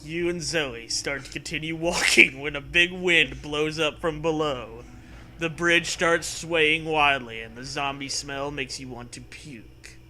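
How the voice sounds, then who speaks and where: A man's voice: fairly high pitch, steady tone, measured pace, pushed and bright, a little hiss or hum. A man co-hosting a comic story reading, narrating in a crude, mock-serious way with chatty commentary. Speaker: co-host, reader.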